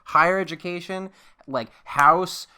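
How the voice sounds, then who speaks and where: A man's voice: high voice, measured pace, sharp tone, clear, resonant tone. A man in his early twenties chatting casually as a podcast co-host, informal and often circling back over his own words. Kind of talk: casual rambling chat.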